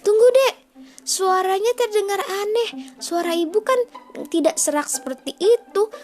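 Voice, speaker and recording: A woman's voice: very high-pitched, measured pace, darkish tone, voice pushed up. A woman voicing a wary, obedient young lamb child in a children's storybook tale. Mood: wary, urgent.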